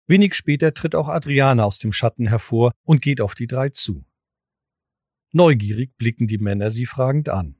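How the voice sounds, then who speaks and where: A male narrator tells a story in the present tense. His voice is of middle pitch, recorded dry and close. It is scripted audio drama narration. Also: moderate pace, a little mellow, no echo.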